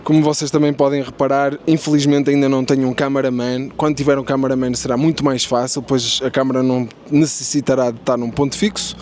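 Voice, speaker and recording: A man's voice: medium pitch, little pitch movement, fast talker, hardly any pauses, darkish tone. A man from Portugal with a Portuguese accent, speaking politely and casually as a street interviewer and video host. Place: outdoors on a city street. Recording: noisy outdoor street with traffic. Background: clear street and vehicle noise.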